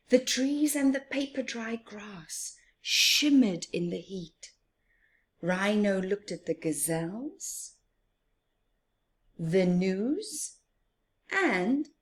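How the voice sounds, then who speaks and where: A woman's voice: mid-range voice, slightly rough. A woman from Africa hosting a children's storytime, reading aloud in a teacherly, emphatic way with questions and explanations. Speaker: children's storytime host and reader.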